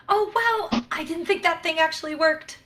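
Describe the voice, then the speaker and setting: A woman's voice: extremely high pitch, measured pace. A woman playing an eager, quirky, chatty starship science officer in a sci-fi comedy play. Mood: excited. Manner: excitable, chatty.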